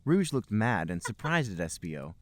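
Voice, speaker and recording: A man with a low voice and very lively pitch reads a story aloud as a podcast host. His delivery is mock-serious and comic, and the sound is dry and close. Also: normal speed, dry recording. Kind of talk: comic dramatic reading of fan fiction.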